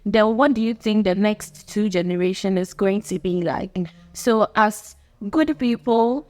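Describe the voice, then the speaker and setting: A young woman from Ghana speaks with a Ghanaian accent in a middle-pitched voice. She hosts her own channel, talking earnestly and loosely to camera. She repeats her points and laughs lightly between them. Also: measured pace, darkish tone. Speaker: channel host. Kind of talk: unscripted talk to camera.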